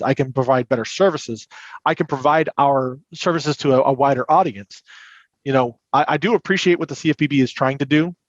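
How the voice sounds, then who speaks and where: A man talking as an expert interview guest, explaining things earnestly in a conversational tone on muffled, roomy audio. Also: a little high. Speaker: podcast guest, credit union compliance and recovery manager.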